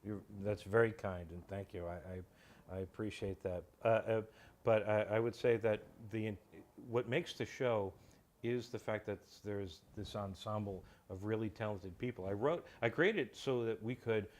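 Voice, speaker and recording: A man's voice: lowish voice, normal speed, a little mellow. A man speaking as a guest in a casual interview about his creative work, hesitant and often repeating words mid-sentence. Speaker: guest, writer and creator of a comedy audio show.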